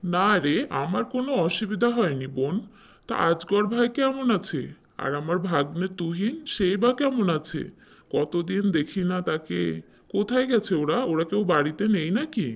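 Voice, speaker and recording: A man's voice: high-pitched, animated, normal speed. A man voicing an affectionate visiting elder brother and uncle in a cartoon. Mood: affectionate, caring. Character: affectionate visiting older brother and uncle, polite village guest. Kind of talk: Bangla cartoon dialogue.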